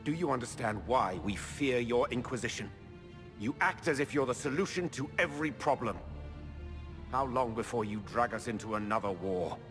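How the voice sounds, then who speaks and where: An actor playing a stern, grave political challenger in a video game, speaking in an accusatory way. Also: male voice, medium pitch, animated, moderate pace, close to an everyday voice. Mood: stern, accusing. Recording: long hall reverb, loud music.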